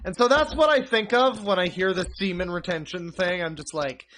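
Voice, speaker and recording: A man's voice: high-pitched, a little fast, fairly bright tone, slight background noise, poor audio. A man streaming, rambling and mocking a video in casual commentary.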